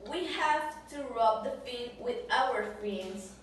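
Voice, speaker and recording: Casting: woman as concerned sea creature for puppet show, halting non-native English, distant in a very echoey room. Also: a little high, animated, slight background noise. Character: caring, alarmed sea creature friend in oil spill. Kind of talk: amateur puppet show acting.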